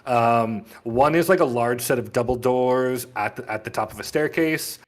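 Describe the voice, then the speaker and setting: A man's voice: medium pitch, moderate pace. A man running a tabletop fantasy game as game master, describing scenes in improvised, conversational narration.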